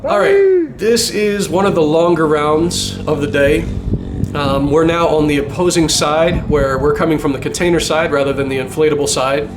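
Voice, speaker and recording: A man's voice: a little high, animated, moderate pace, over music. A man giving casual voice-over commentary on his own paintball game footage, chatty and self-deprecating, with small chuckles.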